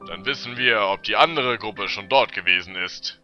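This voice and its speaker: A man's voice: lowish voice, moderate pace, faint music behind, natural voice. A man playing a decisive, commanding leader of fantasy adventurers in an audio drama. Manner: decisive, instructing. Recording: muffled lo-fi amateur recording.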